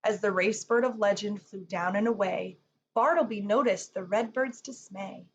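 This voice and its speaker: A woman reading a rhyming children's picture book aloud in an expressive storytelling manner. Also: mid-range voice, moderate pace, dull audio. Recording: faint background noise.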